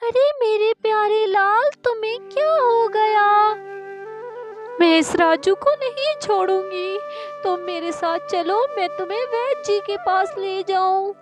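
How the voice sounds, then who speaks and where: Cartoon bird mother done by a woman: extremely high, fiery and fretful, protective, music underneath. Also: unhurried. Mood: alarmed, tender. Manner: fretful, indignant. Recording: music behind the voice.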